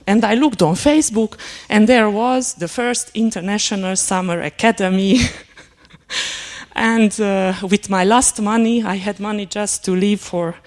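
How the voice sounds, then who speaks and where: A woman whose first language is Hungarian, giving a lecture and telling a personal story in a halting, reflective way, with many fillers and restarts. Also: lowish voice, a little mellow. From Transylvania, Romania.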